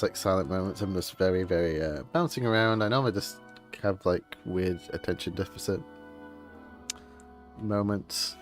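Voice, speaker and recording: A man doing casual livestream game commentary in a rambling, offhand way. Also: sits low. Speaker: game streamer. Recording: faint game music behind.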